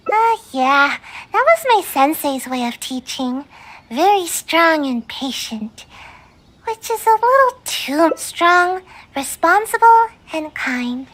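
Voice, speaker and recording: Tiny, high-pitched sleepy ninja girl. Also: very animated, a bit bright, full character voice, poor audio. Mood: wistful. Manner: drowsy, childlike, unhurried. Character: small sleepy young female ninja trainee, lazy and earnest. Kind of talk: video game character dialogue.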